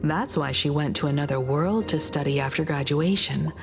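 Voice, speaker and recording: Casting: thoughtful young female scholar in a story game, lower register, measured and articulate, gentle poise, music behind. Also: vocal fry. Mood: thoughtful, analytical. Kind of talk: video game story dialogue.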